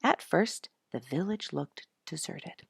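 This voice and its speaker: A woman's voice: low voice, lively intonation, takes it slowly, gravelly, husky, no room sound, clean studio sound. A woman storyteller reading a scripted nature narrative aloud.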